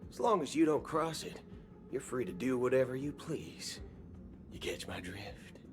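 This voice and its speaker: An actor playing a swaggering, wry cowboy gunslinger in a video game, talking in folksy slang. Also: male voice, mid-range voice, lively intonation, close to an everyday voice. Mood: drawling. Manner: folksy slang, slow drawling delivery. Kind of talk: video game cutscene acting. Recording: game audio with background music.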